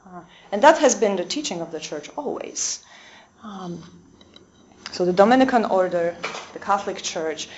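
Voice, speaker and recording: Polish-accented woman, a nun speaking to a room, hesitant and searching for words, middle pitch, slightly dull recording. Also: lively intonation, moderate pace, long pauses, darkish tone, a little hiss or hum. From Poland. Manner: hesitant, many fillers and restarts. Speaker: Dominican sister giving a talk. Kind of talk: lecture talk to a small audience. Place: convent room with a live audience.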